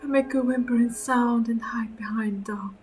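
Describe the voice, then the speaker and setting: A woman streaming a visual novel, reading the narration aloud and reacting in an expressive, sweary way. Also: a little high, normal speed, soft background music.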